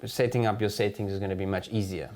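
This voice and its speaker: A man in his thirties with an Afrikaans accent, co-hosting a casual, upbeat tech chat in a studio on a boom mic. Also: steady tone, quick pace, darkish tone. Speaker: co-host. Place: studio set with a table. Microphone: boom mic on an arm.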